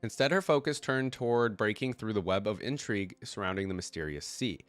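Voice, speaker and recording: Male reaction host, lowish stuffed-up voice, conversational fan commentary and read-alouds, recorded dry. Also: fairly quick, clean tone, no echo, faint music behind. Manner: informal, conversational asides. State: congested from a cold. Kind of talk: casual fan commentary, reading text aloud.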